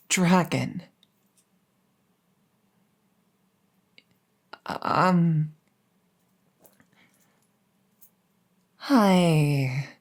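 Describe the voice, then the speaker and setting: A woman's voice: sits low, heavily acted. A woman playing a captured crown princess, haughty but easily flustered and coy, in a roleplay audio drama. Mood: nervous, pleading. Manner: stammering, hesitant, halting.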